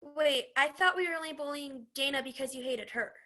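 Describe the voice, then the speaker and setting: A woman plays an eager but meek teenage follower on stage. Her voice is high and bright, earnest and quick to apologize. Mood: earnest, apologetic. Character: eager, meek, literal-minded teenage sidekick girl. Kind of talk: amateur stage play acting.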